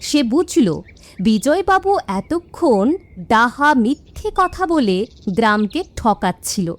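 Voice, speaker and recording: A woman's voice: fairly high pitch, big pitch swings, slow. A woman narrator reading a scripted cartoon moral story.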